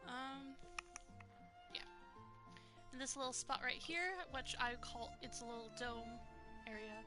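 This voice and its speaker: A young woman with a soft voice, chatting casually and laughing as she streams a video game. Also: on the high side, fairly even intonation, a little slow. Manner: rambling, chatty, laughs often. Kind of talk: casual gameplay commentary. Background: game music.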